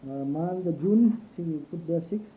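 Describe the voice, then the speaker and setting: A man's voice: on the high side, unhurried. A man with a Kenyan accent walking through an online form in a casual tutorial, switching between Swahili and English and spelling out letters one by one. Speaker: applicant demonstrating the form. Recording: tinny phone-like sound with steady noise.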